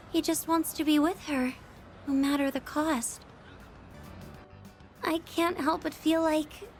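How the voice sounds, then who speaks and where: A young woman voicing a wistful, self-doubting teenage schoolgirl in a video game, confiding and reflective. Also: sits high. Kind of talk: video game character dialogue.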